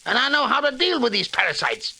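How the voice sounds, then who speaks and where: A haughty, irascible society gentleman in an old radio drama. His high-pitched voice is heavily creaky, and he blusters dismissively at whoever bothers him. The sound is that of a hissy vintage broadcast. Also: big pitch swings, brisk, exaggerated voice, poor audio. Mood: scornful, dismissive. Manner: blustering, indignant. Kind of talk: 1940s radio mystery acting.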